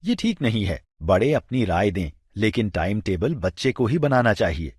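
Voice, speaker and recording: A man's voice: lowish voice, sing-song, moderate pace, slightly rough, no echo, clean studio sound. A man playing a good-humoured, curious and agreeable father in an educational drama, speaking expressively. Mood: agreeable, thoughtful. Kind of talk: scripted educational audio drama dialogue.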